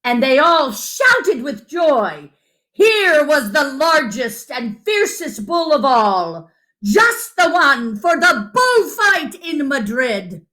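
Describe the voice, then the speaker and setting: Slow, animated woman reading a children's story. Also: high voice, poor audio. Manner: animated, dramatic emphasis with asides to listeners. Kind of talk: expressive children's story read-aloud.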